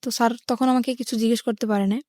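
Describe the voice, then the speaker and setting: A woman's voice: on the high side, fairly even intonation, rapid-fire, warm tone, dry recording, clean studio sound. A young woman with a Bangladeshi accent, telling her life story as an interview guest.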